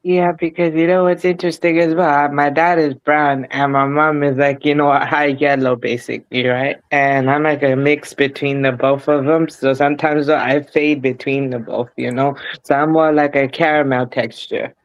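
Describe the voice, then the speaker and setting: A man's voice: fairly high pitch, level tone, normal speed, hardly any pauses. London guy w multicultural accent, easygoing chatty, muffled lo-fi audio, no room echo. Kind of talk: casual chat.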